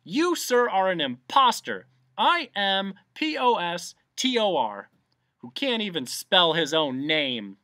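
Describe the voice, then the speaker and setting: Casting: excitable male storybook bee, acted by a narrator, sneering and prim, bright tone, very animated. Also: medium pitch, fast talker, hardly any pauses, no echo, clean studio sound. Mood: furious. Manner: sneering, prim, spells words out.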